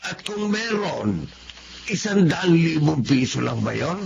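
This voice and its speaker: A man's voice: a little high, big pitch swings, slow, gravelly, a loud crowd, full character voice. An actor playing a worried, reproachful elderly Filipino father in a radio drama, admonishing his grown son. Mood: stern reproach. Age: older. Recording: dull lo-fi radio recording, faint noise.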